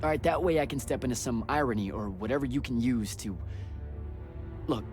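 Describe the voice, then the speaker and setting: An actor playing a brash, defensive young battlemage in a game cutscene, cocky and sarcastic in manner. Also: male voice, mid-range voice, a little fast, a little mellow, soft background music, lightly acted. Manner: cocky, sarcastic, stammering protests. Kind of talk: dramatic video game cutscene acting.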